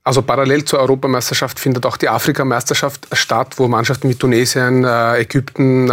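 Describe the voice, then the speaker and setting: Male athlete interviewee, Austrian accent, mid-range voice, keen and sincere, TV studio talk. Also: medium pitch. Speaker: athlete interview guest, handball goalkeeper.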